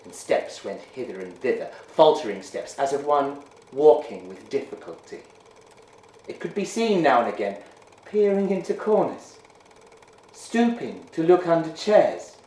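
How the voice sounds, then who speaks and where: A man giving a measured, hushed dramatic reading of a ghost story. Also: medium pitch, very animated. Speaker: reader. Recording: roomy echo.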